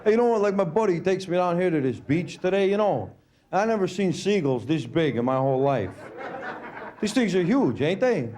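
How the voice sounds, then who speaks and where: A middle-aged man with a Brooklyn accent doing a laid-back, deadpan stand-up set, full of "you know" and "uh" fillers. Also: high voice, brisk. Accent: Brooklyn New York. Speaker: stand-up comedian. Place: comedy club stage with laughing audience. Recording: live club recording with audience laughter and room noise.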